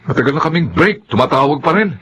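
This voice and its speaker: A man's voice: a little high, animated, fast, fairly bright tone, slight background noise. A man acting in a Filipino radio drama as a conflicted young father, earnest and placating. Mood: troubled.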